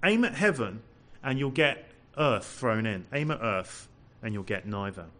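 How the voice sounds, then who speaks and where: A man preaching a sermon to a congregation, animated and full of rhetorical questions. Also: on the low side, a little slow, a little hiss or hum. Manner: says he has a loud voice.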